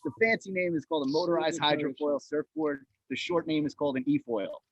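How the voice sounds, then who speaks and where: A man, a guest speaking haltingly on a video call from an outdoor café. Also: a little high, no echo. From Brooklyn, USA. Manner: halting, repeats words, laughs easily. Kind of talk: casual chat. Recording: dull, dry video-call audio.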